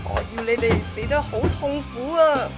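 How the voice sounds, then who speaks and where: A woman's voice: high voice, normal speed, over loud music, pitched above the performer's own voice. A woman acting an obsessive, lovesick male courier in a radio drama, pleading and melodramatic, with a Hong Kong Cantonese accent. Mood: bitter, menacing.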